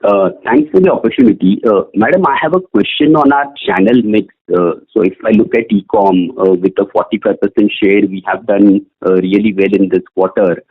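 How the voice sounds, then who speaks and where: A man putting questions as an analyst on a business call, with a gravelly, rough voice. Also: fairly low pitch, brisk, few pauses. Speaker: financial analyst asking questions. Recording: narrow muffled phone conference line.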